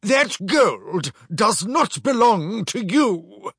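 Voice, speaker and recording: A man voicing an indignant naval commander yelling at pirates in a storybook reading. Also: sits high, sing-song, drawn-out words, husky, no room sound, clean studio sound, voice pushed up, heavily acted. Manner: indignant, shouting. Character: indignant naval ship commander, shouting orders.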